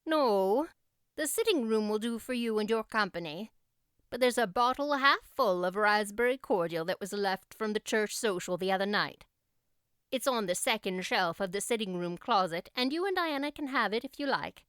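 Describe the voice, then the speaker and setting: A woman voicing a stern, practical older woman in an audiobook, brisk, dry and scolding. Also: mid-range voice, animated, a bit bright, smooth, dry recording, close to an everyday voice. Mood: firm. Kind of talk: audiobook character voice.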